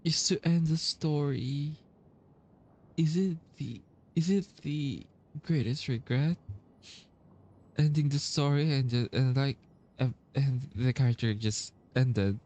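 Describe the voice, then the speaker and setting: A man giving casual reaction commentary while playing a story game, halting and earnest as he searches for words. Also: mid-range voice, darkish tone.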